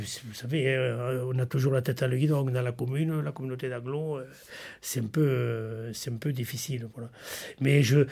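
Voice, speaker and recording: Gravelly, mellow Frenchman, hesitant. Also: mid-range voice. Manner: hesitant, searching for words. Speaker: town mayor. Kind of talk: spoken interview.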